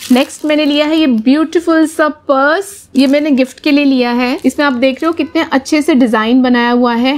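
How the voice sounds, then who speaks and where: High-voiced woman vlogger, chatty. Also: a little mellow, a little hiss or hum. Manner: chatty, explanatory, addressing viewers as friends. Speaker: travel vlogger. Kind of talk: casual shopping haul presentation. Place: bedroom at home, indoors.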